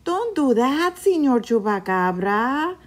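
A woman voicing a small frightened young goat who begs in a high, squeaky voice. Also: sing-song, slow pace, exaggerated voice. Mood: pleading.

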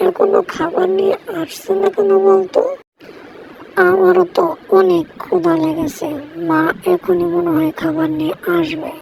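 A woman's voice: very high voice, level tone, normal speed, creaky, background noise, full character voice. A woman voicing an eager, whiny little baby bird in a cartoon. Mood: hungry, complaining. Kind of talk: cartoon voice acting.

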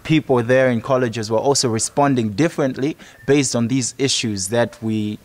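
A man's voice: mid-range voice, normal speed. A man in his twenties with a Malawian accent, explaining a project in a documentary interview. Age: 20s. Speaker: project member. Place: outdoors in a college courtyard. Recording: music under the voice. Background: music.

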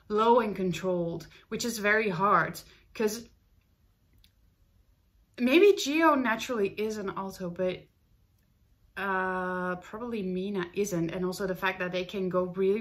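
A woman's voice: medium pitch, fairly bright tone. A woman in her twenties giving casual, chatty commentary as a music reactor, thinking aloud with enthusiasm in a small room at home.

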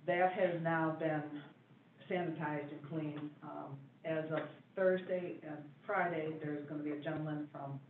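A man giving a building report at a township board meeting, halting with many fillers and restarts. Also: fairly high pitch, fairly even intonation, slight background noise. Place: meeting room. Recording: echoey room, far mic, phone-like band.

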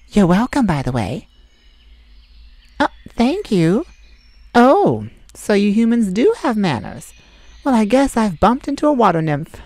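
A woman's voice: medium pitch, a little mellow, no room sound. A sassy male forest fae. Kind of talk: ASMR roleplay.

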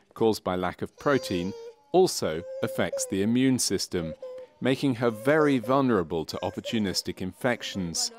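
A man narrating a medical training film from a script, in a measured, clinical and factual way. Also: lowish voice. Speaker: documentary narrator.

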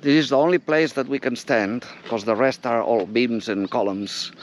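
A man's voice: mid-range voice, slight background noise. A man giving casual running commentary as he climbs around an abandoned concrete building outdoors, filmed on an action camera. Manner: unhurried, plainly describing what he sees. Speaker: explorer filming an abandoned building. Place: outdoors on an unfinished concrete structure. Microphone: action camera held or worn.

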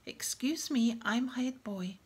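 A woman voicing a pompous, self-important teenage boy who talks briskly. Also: on the high side, darkish tone, husky, pitched above the performer's own voice, heavily acted. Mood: bossy.

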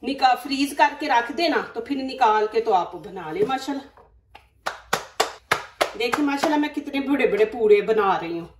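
A woman's voice: a little high, lively intonation, normal speed, echoey room, recorded from a distance, background noise. A woman with a Pakistani Urdu accent hosting a cooking demonstration at home, chatty and instructive, often saying religious phrases. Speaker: cooking channel host. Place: home kitchen.

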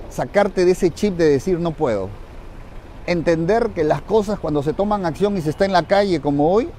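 A man with a Peruvian accent and a fairly high, mellow voice talks to viewers like an investment coach. He is emphatic and instructive, often repeating a phrase to drive it home. He is recorded on a handheld phone out on a city street, with some street noise around him. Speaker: real estate investment coach. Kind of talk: vlog talk to viewers, instructive.

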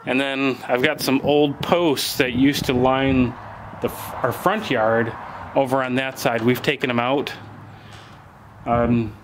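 A man's voice: medium pitch, slight background noise. Plain-spoken man vlogging outdoors. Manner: relaxed, plain-spoken, thinks aloud with fillers. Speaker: homesteader giving a property tour. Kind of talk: casual walking tour vlog. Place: outdoors and in farm buildings. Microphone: handheld camera mic, filming himself.

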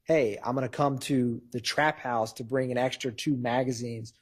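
A man arguing to a judge as a prosecutor in a courtroom, formal but halting, with a husky voice. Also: mid-range voice, fairly even intonation. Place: large wood-paneled courtroom.

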